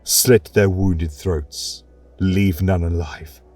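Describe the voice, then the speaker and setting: A man's voice: low voice, steady tone, extremely slow, a little mellow, no echo, faint music behind, exaggerated voice. A man voicing a defiant warrior king, stern and commanding. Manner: commanding, resolute.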